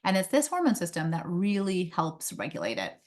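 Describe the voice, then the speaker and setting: A woman's voice: lowish voice, wildly swooping pitch, a little fast, a little mellow. A woman who grew up in India, a pediatrician and company founder, giving a conversational webinar talk with frequent fillers over a video call. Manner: conversational, many um fillers, light laughs. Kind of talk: webinar presentation.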